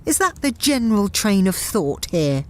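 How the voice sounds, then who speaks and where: Woman as a sarcastic, animated space captain heroine. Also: medium pitch, moderate pace, natural voice. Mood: sarcastic, incredulous. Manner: sarcastic, emphatic. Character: tough, sweary, exasperated spaceship captain heroine. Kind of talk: sci-fi audio drama acting.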